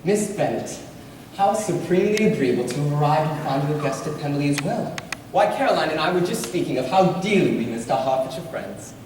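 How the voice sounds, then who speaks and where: A man plays an affable young gentleman of period drama. His fairly high voice is effusively polite and formal. It carries from far off through a noisy, echoing hall. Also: lively intonation, brisk, lightly acted. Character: affable, eager, agreeable young English gentleman. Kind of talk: amateur stage play acting. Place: stage in a theatre or hall, distant microphone. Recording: echoey hall from far off, clear noise.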